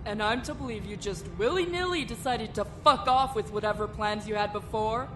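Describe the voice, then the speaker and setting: A woman's voice: a little high, a little fast, background noise, natural voice. A woman voicing a commanding, foul-mouthed female admiral in a fan-made parody drama. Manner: commanding, swearing.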